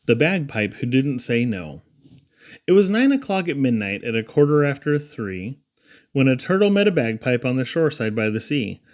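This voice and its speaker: A man reading humorous children's poetry aloud in a theatrical, rhythmic, expressive way. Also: mid-range voice, moderate pace. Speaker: poetry reader.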